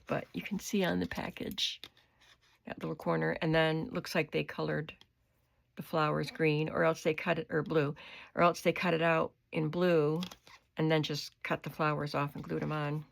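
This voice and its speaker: An older woman with a cold and a hoarse voice, chatting unhurriedly as she shows her craft supplies in her home studio. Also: low-pitched, level tone. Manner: chatty, unhurried, describing items up close. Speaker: craft vlogger. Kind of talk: casual show-and-tell haul.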